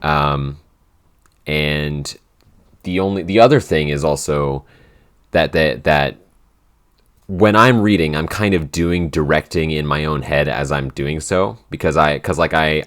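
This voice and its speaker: A young man in his twenties, co-hosting a casual Q&A chat and rambling with lots of "like" and restarts. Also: very deep voice. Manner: rambling, full of "like" and restarts, easy laughs. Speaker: podcast co-host, writer and director. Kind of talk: casual behind-the-scenes Q&A chat.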